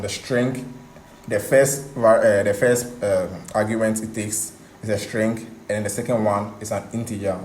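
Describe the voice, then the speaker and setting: A man's voice: on the low side, darkish tone. A man hosting a coding tutorial in a casual, conversational way, repeating himself for emphasis. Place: indoor room, voice over screen recording. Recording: echoey room, background hiss.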